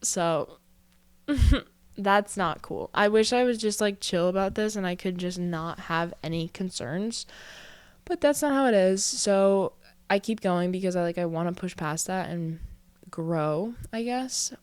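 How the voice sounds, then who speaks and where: A woman's voice: medium pitch, unhurried, clear, resonant tone, no room sound. A woman in her early twenties hosting a casual solo podcast, talking in a chatty, self-reflective way full of "like" fillers and a little out of breath. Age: early 20s. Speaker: podcast host.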